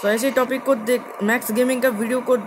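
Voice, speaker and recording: A young man giving casual gameplay commentary as a video host, halting and repeating his phrases as he goes. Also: extremely high pitch, little pitch movement. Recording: clearly noisy game capture.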